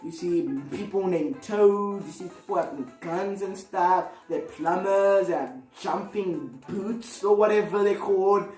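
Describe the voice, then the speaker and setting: A woman's voice: mid-range voice, measured pace, few pauses, a little mellow, distant mic. Female video host, rambling and exclamatory, recorded off-mic in an echoey room with music underneath. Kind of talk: casual opinionated video commentary.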